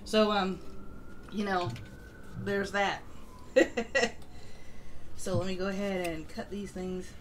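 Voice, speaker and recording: A woman's voice: medium pitch, steady tone, slow pace, slight background noise. A woman hosting a morning livestream, chatting casually and greeting listeners, with dry sarcasm, self-mockery and casual swearing. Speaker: host of a morning livestream news show.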